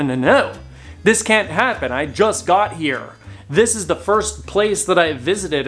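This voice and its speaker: Animated man vlogging to camera. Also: high voice. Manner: animated, self-mocking, talks straight to camera. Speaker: reseller vlogger. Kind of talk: casual haul vlog. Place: cluttered basement storage room, indoors.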